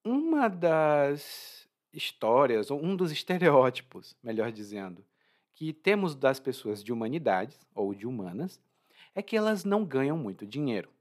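Brazilian guy teaching portuguese words, super lively pitch, chatty and joking. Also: a little slow. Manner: lively, informal, chatty, laughs at own jokes. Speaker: language teacher. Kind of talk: explaining vocabulary from a story.

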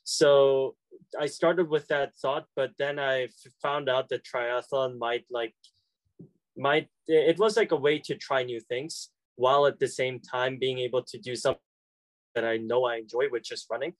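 A man's voice: medium pitch, fairly even intonation, normal speed, no room sound, rough recording. Young college guy, chatty and hesitant, dry close recording. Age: young adult. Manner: hesitant, many fillers and restarts. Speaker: college student interviewee. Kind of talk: casual conversation.

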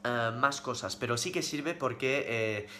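A man's voice: medium pitch, a little slow, sharp tone, a little hiss or hum. A man answering questions casually on a livestream as a fitness coach, speaking bluntly and emphatically, with slang and repeated words for stress.

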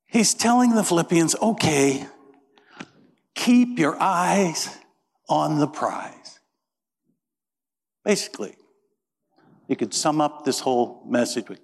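A middle-aged man from Indiana preaching a sermon as pastor, folksy and joking as he tells stories. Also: a little high. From Indiana, USA. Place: church. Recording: reverberant church sanctuary.

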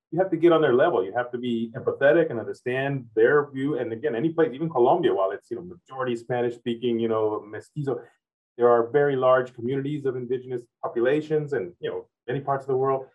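Rapid-fire guy host, rambling questions, sounds phone-like and kinda far from the mic. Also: mid-range voice. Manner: rambling, loops back on his phrasing. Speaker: interviewer host. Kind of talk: long winding interview questions.

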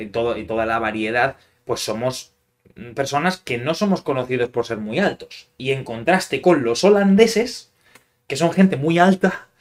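A young man from hosts a relaxed chat. His voice has an ordinary, mid male pitch. He asks questions in a friendly manner, often pausing on fillers as he thinks. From Spain. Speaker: podcast host, Spanish teacher. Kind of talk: casual interview chat.

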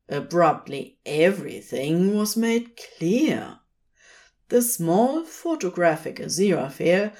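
A woman reading fiction aloud as an expressive, scripted storyteller. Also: on the low side. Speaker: podfic narrator.

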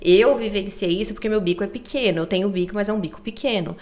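A woman's voice: mid-range voice, fast talker, a little mellow. A woman guest on a podcast, chatting casually and explaining her consulting work, often tagging sentences with little checks to the listener. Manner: explanatory, chatty, frequent "né" and "tá" tags. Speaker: guest, co-founder of a baby layette consulting service.

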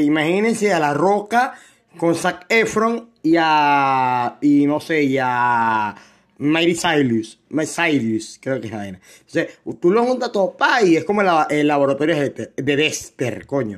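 Rambling Venezuelan young man. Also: on the high side. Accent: Venezuelan Spanish. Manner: rambling and self-joking, many fillers and restarts. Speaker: podcast host. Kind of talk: casual solo podcast chat.

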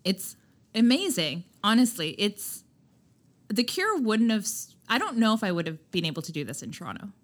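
A woman guest on a chat podcast talking candidly about founding her own skincare brand, loud and emphatic, with lots of "like" fillers. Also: medium pitch, sing-song, normal speed. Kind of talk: candid conversational interview.

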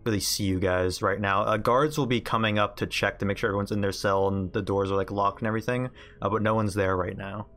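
Male game master, lowish voice, casual improvised narration with hesitant phrasing. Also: steady tone, a bit bright. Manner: hesitant, halting, informal.

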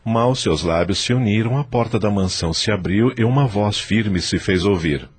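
Deep raspy narrator of a radio drama. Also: male voice. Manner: measured, dramatic.

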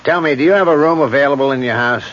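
An actor playing a calm, reassuring police lieutenant in a 1970s radio drama, measured and a little skeptical. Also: male voice, on the high side, close to an everyday voice. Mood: measured, thoughtful. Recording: dull vintage radio broadcast.

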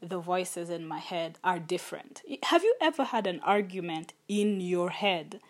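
A woman's voice: on the low side, expressive, a little slow. A young adult woman hosting a solo podcast, talking in an earnest, thoughtful and openly personal way. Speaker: podcast host. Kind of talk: reflective solo podcast talk.

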